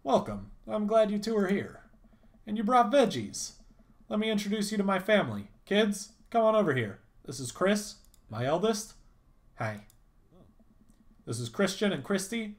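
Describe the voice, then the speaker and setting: A man with a raspy voice, voicing an over-eager, cheerful suburban dad neighbor in a dating game. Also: high-pitched, very animated, moderate pace, pauses often, pitched above the performer's own voice. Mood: cheery. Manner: beaming, over-eager welcoming.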